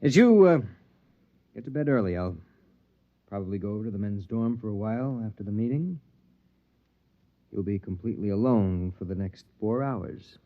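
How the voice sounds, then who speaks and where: An American actor playing a domineering, ambitious, suspicious husband in a sci-fi radio drama, with a stern, lecturing manner. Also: male voice, fairly low pitch, expressive, normal speed, long pauses, close to an everyday voice. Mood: probing, guarded. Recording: dull, band-limited vintage radio recording.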